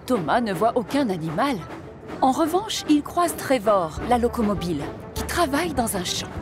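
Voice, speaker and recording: A woman narrating a scripted children's story with expressive delivery. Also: on the high side, normal speed. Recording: music behind the voice.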